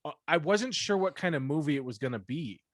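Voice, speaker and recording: A man's voice: on the high side, lively intonation, a little fast, fairly bright tone, no echo, clean studio sound. Blunt, swearing man on a podcast. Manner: blunt, profane, laughs often while griping. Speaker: podcast co-host; performer, musician. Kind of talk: casual chat.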